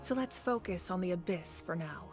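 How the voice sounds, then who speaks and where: A woman's voice: lowish voice, expressive, lightly acted. Woman acting composed, commanding warrior leader. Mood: composed, firm. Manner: measured, firm, formal. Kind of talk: video game voice acting. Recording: background music under the voice.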